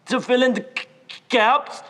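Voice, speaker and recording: A teenage boy on stage playing a gentle, timid, deformed man who speaks with a heavy, halting stammer. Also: very high voice, heavily acted. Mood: wistful, haunted. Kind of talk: high school stage play acting. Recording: echoey theater hall.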